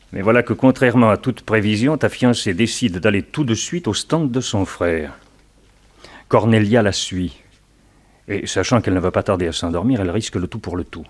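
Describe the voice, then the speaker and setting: An actor playing a scheming, taunting crook in a crime radio drama, speaking with deliberate, sardonic emphasis. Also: male voice, low-pitched. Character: scheming male blackmailer, sly, taunting crook.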